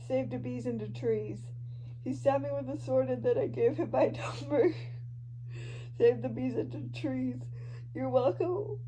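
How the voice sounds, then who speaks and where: Amateur podfic reader, female, high voice, casual expressive delivery with small stumbles, clearly noisy audio. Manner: informal, stumbles over words. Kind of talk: expressive fan fiction reading of social media posts and narration. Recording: noisy amateur home recording.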